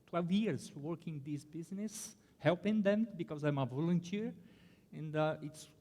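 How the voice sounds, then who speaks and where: A man with a Brazilian Portuguese accent talks through a conference presentation in English, pausing often on fillers and restarting his phrases. His voice sits a little high for a man. It carries a long echo from a large hall. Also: mellow. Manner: halting, many fillers and restarts. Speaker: conference panelist.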